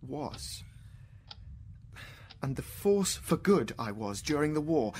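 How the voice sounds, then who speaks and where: A man's voice: a little high, very animated, takes it slowly, a little hiss or hum. An actor as a wry, wounded intellectual man, swinging wildly. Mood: bitter, defensive. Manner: wry, emotional, swinging from hushed to outburst. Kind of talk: radio drama acting.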